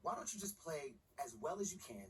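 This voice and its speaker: A man's voice: fairly high pitch, big pitch swings, brisk. A male actor playing a pushy, scheming sports agent in a video game cutscene, persuading in emphatic slang. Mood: scheming, insistent. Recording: noisy, distant game capture.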